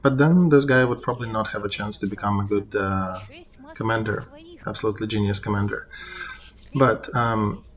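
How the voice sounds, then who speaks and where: A man interpreting a political discussion live, in halting phrases as he translates on the fly. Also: on the low side.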